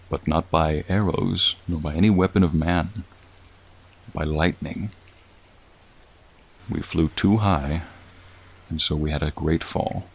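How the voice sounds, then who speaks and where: Deep and unhurried, this is a friendly, centuries-old dragon played by a man in a soothing ASMR roleplay. The voice is low and gravelly, with long, slow phrasing. It speaks with gentle formality and a wistful, lonely temper. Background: rain and raindrops.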